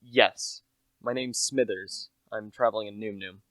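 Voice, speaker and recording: A man's voice: on the low side, fairly even intonation, measured pace, a bit bright, no echo. A young man acting a humble, chatty small-time salesman who narrates a radio play in a plain, confiding manner. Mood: polite, ingratiating. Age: young adult. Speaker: narrator and lead character.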